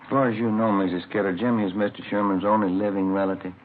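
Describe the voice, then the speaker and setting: An American actor playing a steady, courteous, matter-of-fact police detective in a 1950s radio crime drama. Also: male voice, fairly low pitch, fast talker, close to an everyday voice. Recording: muffled, noisy vintage radio broadcast.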